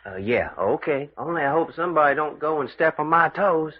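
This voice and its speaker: An actor playing a folksy, impatient adventurer with a drawl in a radio drama. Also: male voice, on the high side, animated, fairly quick, noisy background, natural voice. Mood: folksy, wary. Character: folksy, impatient adventurer, rough-grammared sidekick. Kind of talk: old-time radio adventure acting. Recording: muffled radio drama recording.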